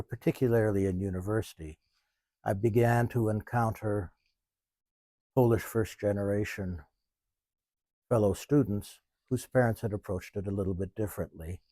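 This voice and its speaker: A man in his seventies, talking in a measured, thoughtful way and pausing often mid-sentence, in an interview. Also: fairly low pitch, vocal fry, mellow, dry recording. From Canada. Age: 70s. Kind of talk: reflective interview. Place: small museum.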